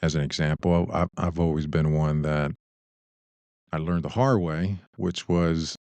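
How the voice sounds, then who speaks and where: A man with a deep voice, co-hosting a casual advice discussion in a reflective way, often posing rhetorical questions. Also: fairly even intonation, a little slow, darkish tone, clean tone, no room sound. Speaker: podcast co-host.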